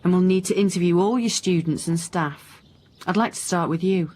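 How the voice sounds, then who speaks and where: A woman acting a dry, sceptical police inspector in a radio drama, questioning in a measured, pointed way. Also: on the low side, darkish tone, lightly acted. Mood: businesslike, probing. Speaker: actor in radio crime drama.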